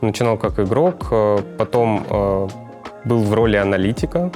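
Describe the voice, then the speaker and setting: A 25-year-old man giving halting interview answers as an esports coach. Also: fairly low pitch, animated, a little mellow. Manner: halting, hesitant. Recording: music with guitar behind the voice.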